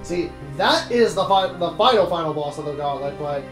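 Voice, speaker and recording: A man's voice: fairly high pitch, expressive, moderate pace, echoey room. A man hosting a let's play, explaining the game in a matter-of-fact, knowledgeable way. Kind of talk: casual game commentary. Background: game music.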